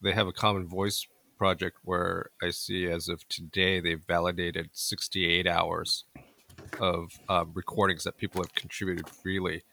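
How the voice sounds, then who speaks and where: Deep gravelly host, crisp dry sound. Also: male voice, flat delivery, pushed and bright. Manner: measured, framing questions for the guest. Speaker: podcast host. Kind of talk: interview question setup.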